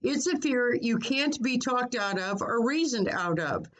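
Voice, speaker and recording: A woman voicing a girl's father, a bemused and measured preacher, in a children's storybook. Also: fairly high pitch, expressive, fairly bright tone. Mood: explaining.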